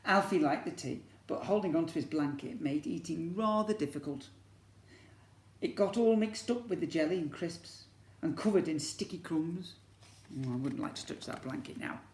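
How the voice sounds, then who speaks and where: A man's voice: on the high side, lively intonation, normal speed. A man reading a storybook aloud to young children like a teacher, expressively and with questions to the listeners. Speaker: infant school teacher reading to pupils. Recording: echoey room.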